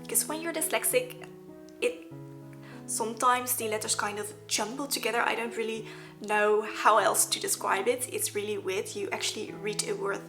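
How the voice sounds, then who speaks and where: A young Dutch-accented woman talks casually and earnestly to her viewers, her voice sitting at an ordinary middle pitch. She slips in small laughs as she goes. Clear music plays under her the whole time. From Netherlands. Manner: chatty, earnest, with soft laughs. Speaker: vlogger. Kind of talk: casual talk to camera. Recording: background music.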